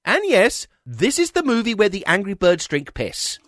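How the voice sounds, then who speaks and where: A man's voice: high voice, wildly swooping pitch, moderate pace, fairly bright tone, no echo. A man narrating a scripted comedic video essay as its host, with a sardonic, emphatic delivery.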